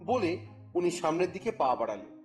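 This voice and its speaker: A man narrating a story in the first person, with expressive, theatrical phrasing and a scripted dramatic style. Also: a little high, normal speed. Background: music.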